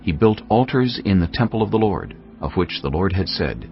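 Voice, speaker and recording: A man narrating scripture in a measured, solemn storytelling voice. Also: deep voice, sing-song. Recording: dull lo-fi audio over faint music.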